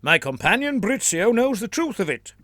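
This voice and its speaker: A man's voice: sits high, sing-song, moderate pace, pushed and bright, no echo, studio quality, pitched above the performer's own voice, exaggerated voice. Man voicing a stern zealous priest. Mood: urgent. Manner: earnest, insistent, preaching. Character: stern zealous male priest, pleading for war. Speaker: senior priest.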